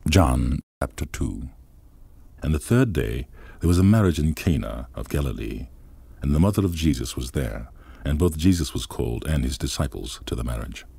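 A man reading scripture aloud in a solemn, deliberate manner. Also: very deep voice, lively intonation, creaky, warm tone, slightly rough, dry recording. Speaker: Bible reader.

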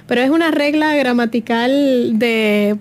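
A woman from Puerto Rico with a light Puerto Rican accent, a guest on a radio interview, lively and friendly. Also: a little high, measured pace. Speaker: guest, creativity workshop leader.

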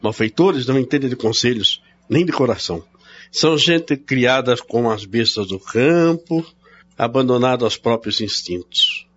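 An actor playing a stern, unyielding Brazilian brother-in-law in a radio drama, speaking in an emphatic, commanding way. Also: male voice, mid-range voice, lively intonation, normal speed, fairly bright tone, highs cut off. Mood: scornful, insistent.

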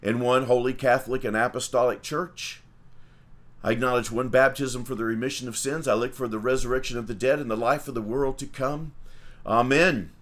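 A man's voice: medium pitch, steady tone, brisk, fairly bright tone. A man, a priest leading livestreamed morning prayer and chatting in a folksy way with viewers.

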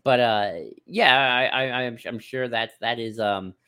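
Dude on a podcast, average pitch, rambly and hesitant, keeps circling back, casual discussion. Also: male voice, fairly even intonation, measured pace, sharp tone, clear, resonant tone. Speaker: podcast co-host.

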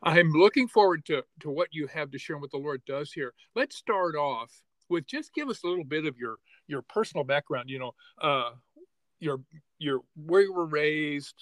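A man hosting a podcast, enthusiastic and halting as he welcomes and questions his guest. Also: a little high, expressive, no echo, rough recording. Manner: warmly enthusiastic, halting with restarts. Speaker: podcast host. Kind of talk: conversational interview.

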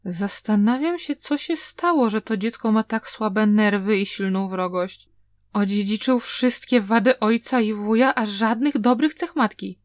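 A woman voicing a sharp-tongued young male cultivator who argues and mocks. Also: mid-range voice, no room sound, lightly acted. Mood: indignant. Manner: mocking, quick to argue.

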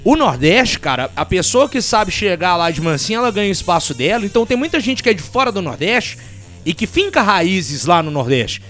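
High-voiced mineiro man chatting. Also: sing-song, fairly quick, a bit bright, soft background music. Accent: Minas Gerais Portuguese. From Brazil. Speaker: podcast co-host. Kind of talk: casual podcast chat.

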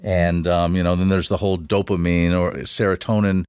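A man with a very low voice talks as a guest on a podcast. He explains at length in a halting way, full of restarts and fillers. The sound is dry, with no room echo. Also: flat delivery, darkish tone, clear, resonant tone. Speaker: guest, shamanic facilitator. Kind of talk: casual podcast interview.

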